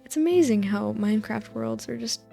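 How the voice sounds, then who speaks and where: A woman with a soft voice, rambling over gameplay in a sleep-aid video, meandering and sometimes losing her thread. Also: fairly high pitch, moderate pace, vocal fry, soft background music. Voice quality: soft voice, drifting into whispers. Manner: soft, meandering, loses her train of thought. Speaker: gaming video host.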